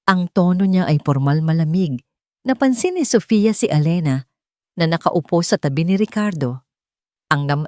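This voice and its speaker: A woman's voice: on the low side, mellow, no echo, studio quality. A woman reading a scripted dramatic story as a storyteller.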